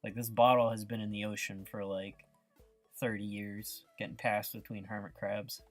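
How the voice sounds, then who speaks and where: A man's voice: lowish voice, little pitch movement, moderate pace, soft background music. A man hosting an art livestream, chatting casually and ramblingly with viewers and prone to giggling. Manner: giggly, breaks into laughter often. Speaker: livestreamer, artist hosting a live painting stream. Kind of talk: casual chat to live viewers.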